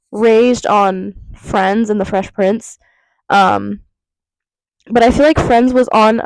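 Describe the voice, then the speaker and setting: A young woman talking casually to her viewers while congested with a cold, her nose audibly stuffed. Her voice sits a little high, and she drifts from one tangent to the next with plenty of fillers. The recording is close and dry, with almost no room sound. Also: creaky, a little mellow, no echo, rough recording. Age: 20s. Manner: rambling, lots of fillers and tangents. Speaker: vlogger. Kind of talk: casual chat to camera. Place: vape shop counter.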